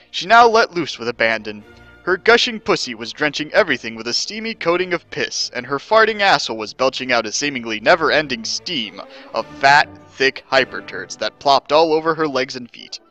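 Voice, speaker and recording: Male narrator for parody story reading, theatrical, lively intonation, forceful bright tone. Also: medium pitch, normal speed, soft background music, low-quality recording. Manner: theatrical, emphatic. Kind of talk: mock-dramatic scripted story reading.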